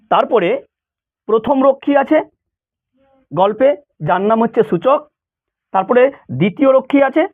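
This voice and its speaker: Animated male teacher on a phone-like recording. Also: high-pitched. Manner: conversational, repeated check questions and rhetorical questions. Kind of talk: informal lesson, explaining a story to school students. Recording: thin, phone-like sound.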